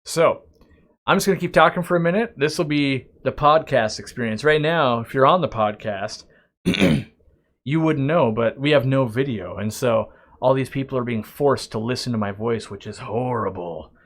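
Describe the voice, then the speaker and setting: A middle-aged man with an ordinary mid-range voice talks off the cuff on a live stream. He wanders from topic to topic and restarts sentences often. His manner is loose and friendly, not scripted. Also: mid-range voice. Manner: rambling and chatty, with frequent restarts and asides; reads viewer questions aloud, then answers them. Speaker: aquarium shop owner hosting a live stream. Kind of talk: casual live-stream Q&A chat.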